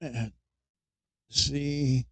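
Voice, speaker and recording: Slow, wry man. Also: medium pitch, steady tone, breathy edge, dry recording. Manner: wry and mocking, chuckles at his own jokes; halting, repeats words as he goes. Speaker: podcast host. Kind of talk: comedic news commentary.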